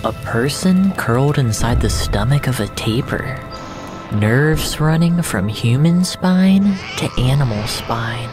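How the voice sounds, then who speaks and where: A narrator of medium pitch reads dramatic first-person fiction. The delivery is very slow and deliberate, with room between phrases. Loud music plays all through under the voice. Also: animated. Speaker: narrator of a surreal fiction story.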